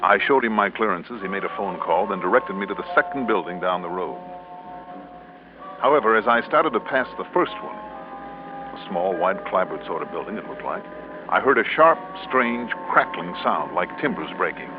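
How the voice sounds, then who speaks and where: A man's voice: low voice, animated, normal speed. An actor playing a cool, tough American insurance investigator who narrates his case in clipped, wry classic radio drama style. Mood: tense, narrating. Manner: clipped, wry, hard-boiled. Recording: tinny band-limited vintage radio recording, music.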